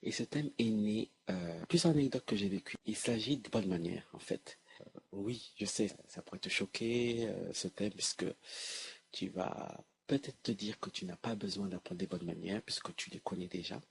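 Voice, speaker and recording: A man's voice: fairly low pitch, normal speed, creaky, a little hiss or hum. A man hosting an educational video, explaining informally to the viewer in a hesitant way, often repeating and correcting himself. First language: French. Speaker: host of an educational video series.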